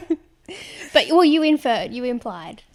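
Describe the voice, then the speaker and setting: A woman's voice: on the high side, sing-song, moderate pace, darkish tone. A woman in her twenties with a young, girlish voice, giggly and casual, co-hosting a podcast on a close microphone in a small room. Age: 20s. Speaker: podcast co-host. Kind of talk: casual banter between friends. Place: small indoor room, seated on armchairs. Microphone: podcast microphone on a boom arm.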